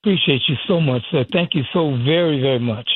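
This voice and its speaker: A man's voice: a little high, fairly quick, husky, dry recording. A man chatting casually as a radio guest, promoting a community event. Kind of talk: casual promotional interview chat. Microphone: phone call. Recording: thin, tinny phone line.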